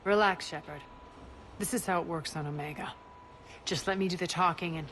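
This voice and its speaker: A woman's voice: lowish voice, a little fast, a bit bright, a little hiss or hum, lightly acted. A woman playing a ruthless, imperious alien crime queen in a video game, speaking in a haughty, sardonic, commanding way.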